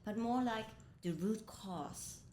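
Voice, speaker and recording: A woman who grew up between Indonesia, Germany and the US, telling her life story as a guest in a reflective way. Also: takes it slowly. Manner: reflective, frequent soft laughs. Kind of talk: personal storytelling in conversation. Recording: roomy echo with faint rumble.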